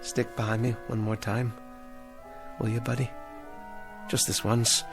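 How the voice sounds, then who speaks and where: A man's voice: medium pitch, little pitch movement, takes it slowly, music in the background. An actor playing a hapless, eager ventriloquist down on his luck, hesitant and polite, in a radio drama. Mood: earnest, hopeful. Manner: hesitant, stammering, earnest, polite.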